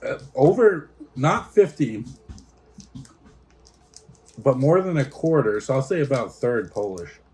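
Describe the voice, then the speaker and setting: A man's voice: medium pitch, expressive, a little slow, pauses often, darkish tone, rough recording. A man hosting a casual snack taste-test, reading out product notes and stumbling over foreign names. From United States.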